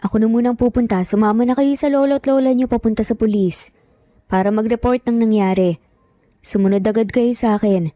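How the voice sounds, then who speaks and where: Woman playing a stern, worried dad, mellow tone. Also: a little high, fairly even intonation, fairly quick, no echo, close to an everyday voice. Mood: firm. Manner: firm, urgent. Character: worried, stern young father of triplets.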